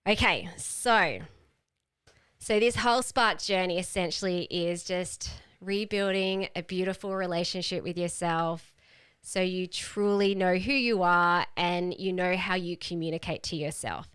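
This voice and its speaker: A woman's voice: on the low side, measured pace, a bit bright, clean tone, no room sound, studio quality. A woman leading a live online group call as a health coach, teaching in a casual, explanatory and emphatic way.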